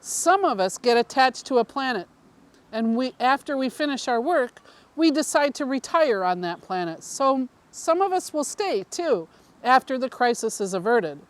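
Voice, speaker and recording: A woman's voice: high voice, expressive, normal speed. A woman reading the part of an undercover alien woman and devoted mother, explaining her mission in an earnest, wry, matter-of-fact way. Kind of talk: first-person story reading.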